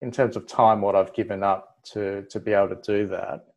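A man in his thirties with an Australian accent, a guest talking in a relaxed, rambling way with many "like" fillers. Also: lowish voice, a little fast. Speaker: guest, ophthalmology registrar. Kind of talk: casual interview chat.

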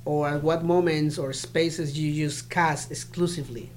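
A man interviewing, asking curious, gently probing questions. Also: a little high, steady tone, moderate pace, slight background noise. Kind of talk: casual interview.

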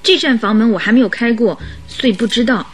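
声音较高的年轻小姐，女演员饰演. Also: female voice, a little high, fast talker, faint music behind, close to an everyday voice. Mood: earnest. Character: puzzled, polite young lady client of detective. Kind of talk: Chinese radio drama acting.

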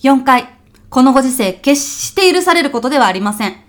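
A woman's voice: sits high, animated, a little fast. A woman playing a polite, strict junior office organizer in a comic radio drama, coolly businesslike as she tallies up fines. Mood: stern, matter-of-fact. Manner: polite, businesslike, matter-of-fact.

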